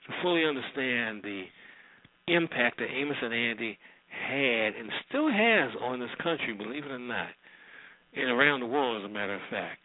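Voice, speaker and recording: A man's voice: mid-range voice, expressive, moderate pace. A man hosting a radio show with casual, rambling commentary, often repeating phrases for emphasis. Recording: roomy sound, thin phone-like audio.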